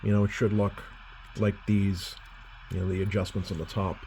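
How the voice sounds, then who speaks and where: A man's voice: low voice, level tone, normal speed, warm tone. A man giving a casual hands-on repair walkthrough as a technician, thinking aloud hesitantly at a workbench. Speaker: CB radio repair technician demonstrating. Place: indoor workbench. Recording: clear background noise.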